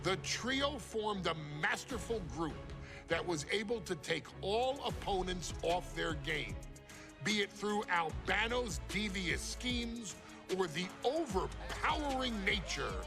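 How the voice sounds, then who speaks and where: A man presents a dramatic scripted showcase with grandiose reverence. His delivery swings widely in pitch and has a gravelly creak, with emphatic pauses between phrases. Music plays behind him. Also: expressive. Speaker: narrator and presenter of a wrestling showcase. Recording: music under the voice.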